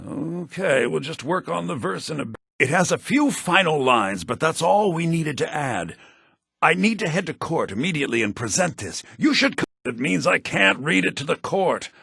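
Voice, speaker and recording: An actor playing a scholarly, theatrical headmaster of a bards' college in a fantasy video game, speaking in a formal, declamatory manner. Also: male voice, a little high, vocal fry, a bit bright, breathy edge, dry recording, clean studio sound, exaggerated voice. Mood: thoughtful. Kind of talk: fantasy video game character dialogue, with verse recitation.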